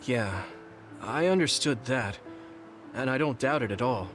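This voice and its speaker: Guy acting an earnest young soldier, video game style, very expressive pitch, clear music behind him. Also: unhurried, lightly acted. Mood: puzzled, earnest. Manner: earnest, direct, questioning. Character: earnest young male soldier, sincere and questioning.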